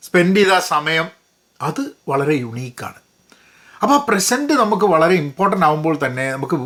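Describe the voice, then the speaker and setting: A man's voice: on the high side, sharp tone. A man with a Malayali accent giving a casual, explanatory book review, mixing in English phrases and repeating words for emphasis.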